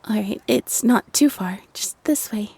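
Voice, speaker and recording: A woman's voice: medium pitch, a little slow, creaky, warm tone, breathy edge. A woman in her twenties playing a shy, flustered half-fairy tea shop keeper in an audio roleplay, with a nervous, stammering, giggly and apologetic way of talking. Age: 20s. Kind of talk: scripted audio roleplay, intimate and conversational.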